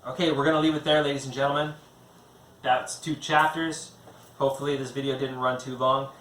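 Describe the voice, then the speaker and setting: A man's voice: medium pitch, flat delivery, brisk, a bit bright. Roomy-sounding man narrating fantasy. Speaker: author reading own book aloud. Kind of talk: dramatic scripted fantasy narration. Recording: roomy, distant mic, faint background noise.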